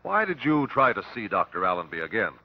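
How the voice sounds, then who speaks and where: An actor playing a persistent examiner at an inquest, asking formal, clipped questions in an old radio drama. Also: male voice, on the low side, big pitch swings, fast talker, dry recording. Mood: probing, brisk. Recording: dull, dry old radio recording.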